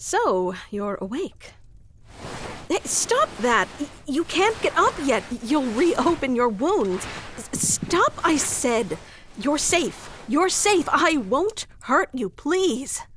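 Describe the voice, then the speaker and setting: Woman acting a high-voiced, prickly tsundere elf girl. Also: big pitch swings, takes it slowly, a bit bright, a little hiss or hum, exaggerated voice. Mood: urgent, caring. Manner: prickly tsundere, archaic wording, stammers when flustered. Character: haughty, flustered young female elf guarding her forest. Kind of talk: scripted fantasy ASMR roleplay acting.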